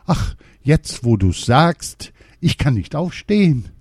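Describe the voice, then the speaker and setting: A man voicing a poisoned, forgetful king who speaks high and excitedly. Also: slow, husky, dry recording, clean studio sound, exaggerated voice. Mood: worried.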